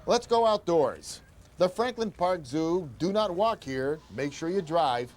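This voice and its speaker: A man's voice: fairly high pitch, expressive, measured pace. An older man hosting a travel show, giving upbeat, conversational tour-guide narration.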